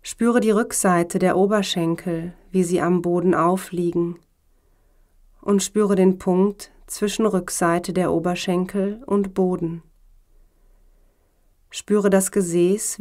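Female meditation guide, slightly low voice, very slow delivery, calm and measured yoga instruction. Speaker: yoga teacher guiding relaxation.

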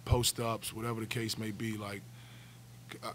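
A man's voice: fairly low pitch, level tone, moderate pace, a bit bright, a little hiss or hum. A man in his forties, a basketball coach answering questions after a game at a table mic in a press room, earnest and often repeating words as he restarts. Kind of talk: postgame press conference answers. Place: press room, seated at a table before a backdrop. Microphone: table stand mic.

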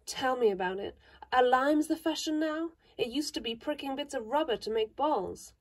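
A woman voicing a kindly, sensible teenage older sister who speaks in a gentle, steady, motherly way. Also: high-pitched, normal speed.

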